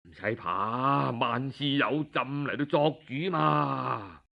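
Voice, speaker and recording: A man's voice: medium pitch, slow pace, creaky, pitched below the performer's own voice. A man voicing a doting king with a Cantonese accent, soothing and assured, in a told historical story. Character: doting adult king soothing his favourite concubine. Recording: dull lo-fi audio.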